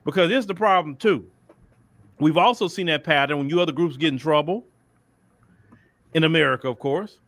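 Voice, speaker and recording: A man's voice: on the high side, a bit bright, dry recording. A man with a Southern Texas accent giving an emphatic, colloquial opinion monologue, often repeating phrases and acting out mock dialogue. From Port Arthur, Texas. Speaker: commentator.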